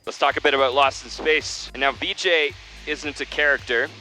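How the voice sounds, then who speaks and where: A man's voice: medium pitch, steady tone, moderate pace, music in the background, poor audio. A man hosting a casual, playful interview, speaking on a headset in a small plane. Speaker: interview host. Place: small plane cabin in flight.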